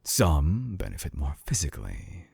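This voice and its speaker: Man voicing obsessive mad scientist, rock-bottom pitch, creaky, hushed, slow, clinical, seductive, binaural roleplay. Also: wildly swooping pitch, no echo, heavily acted. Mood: coolly reasoning. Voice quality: very deep, gravelly, breathy near-whisper. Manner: slow, hushed, deliberate, with long pauses. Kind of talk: intimate binaural audio roleplay. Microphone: close binaural microphone.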